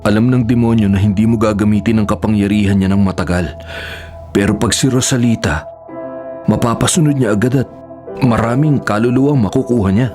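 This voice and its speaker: A man's voice: lowish voice, fairly quick, darkish tone, faint music behind, close to an everyday voice. An actor playing a grave, perceptive man who advises on spirits and rituals in a radio horror drama, with an earnest, solemn delivery. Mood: grave, explaining.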